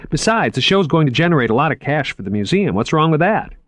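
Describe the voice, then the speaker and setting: A man voicing an eager, shy young male museum curator who talks fast and stammers when he gets flustered. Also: a little high, sing-song, no room sound, pitched above the performer's own voice.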